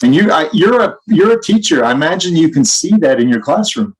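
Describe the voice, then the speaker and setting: A man's voice: a little high, sing-song, fairly quick, low-quality recording. A man co-hosting a conversational podcast, talking reflectively and in an anecdotal style. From Canada. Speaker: podcast co-host.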